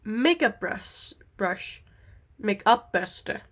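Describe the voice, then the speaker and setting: Young woman, slow unhurried pace, rambling casual vlog chat, easily sidetracked. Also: medium pitch, lively intonation, noisy background. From Denmark. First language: Danish. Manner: rambling, easily distracted, with many fillers. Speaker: vlogger. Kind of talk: casual chat while doing nails.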